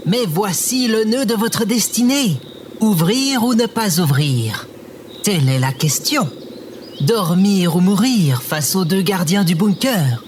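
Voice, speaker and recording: A man's voice: high voice, lively intonation, takes it slowly, a little hiss or hum. A man playing a small robot who declaims like an overdramatic Shakespearean oracle in an audio drama. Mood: grandiose. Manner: overdramatic, pompous, archaic declamation. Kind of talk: theatrical scripted audio drama.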